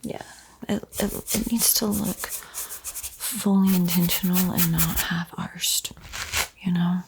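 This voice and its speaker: A woman whispering an ASMR painting tutorial in a breathy, soothing, gently encouraging way. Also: on the low side, fairly even intonation, slow pace, rough recording. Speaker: art tutorial host.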